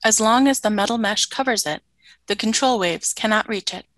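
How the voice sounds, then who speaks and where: A woman's voice: medium pitch, moderate pace, a bit bright, no echo, lightly acted. A woman playing a stern, obedient female alien soldier in a radio play, speaking in formal, clipped, literal statements. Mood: flat, dutiful.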